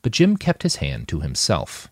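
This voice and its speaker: A man reading a scripted story aloud as an expressive audiobook-style narrator. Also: extremely low pitch, moderate pace, husky, dry recording, studio quality. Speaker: author reading own fiction podcast.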